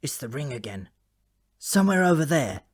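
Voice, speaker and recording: A man's voice: mid-range voice, sing-song, normal speed, breathy edge, no echo, studio quality. A man voicing an earnest, slightly wounded male raven with a defensive, chatty manner, in a comic fantasy audiobook. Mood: alert.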